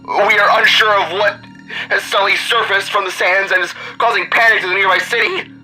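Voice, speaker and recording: A man's voice: sits high, fairly even intonation, fairly quick, sharp tone, pitched above the performer's own voice, full character voice, rough recording. A man voicing an urgent, stammering owl-person news reporter in an audio drama, with music behind. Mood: panicked.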